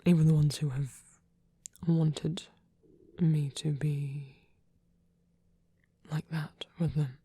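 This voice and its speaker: A performer voicing a gentle, shy, protective male vampire priest in an intimate ASMR roleplay, soft and breathy, speaking in hesitant, tender phrases. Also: medium pitch, steady tone, slow pace, pauses often, no echo. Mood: hesitant, hopeful.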